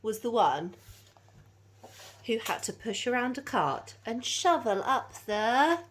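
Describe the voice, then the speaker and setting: Female storyteller, teacher style, for children's read-aloud; highly animated, varied intonation. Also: slow pace, a little hiss or hum.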